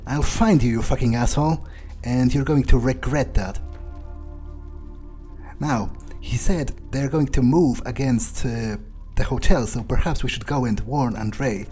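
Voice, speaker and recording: Casting: a man as a cocky, vindictive male vampire for game roleplay, mellow mid-range voice, halting, sweary delivery, faint music behind. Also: medium pitch, lightly acted. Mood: angry threats, then brooding, musing. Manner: halting, self-correcting, swearing, taunting. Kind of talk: in-character video game roleplay playthrough.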